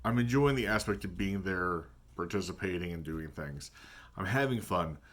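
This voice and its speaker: Guy with a real deep low voice rambling thoughtfully about his game group, kinda hesitant. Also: animated, moderate pace, a bit bright. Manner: hesitant, thinking aloud. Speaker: tabletop game player. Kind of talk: casual reflective vlog talk.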